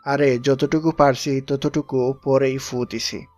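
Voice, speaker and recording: Man acting young male pal, hapless, mid-pitched, audio drama, music underneath. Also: little pitch movement, slow pace, darkish tone, lightly acted. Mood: casual, sheepish.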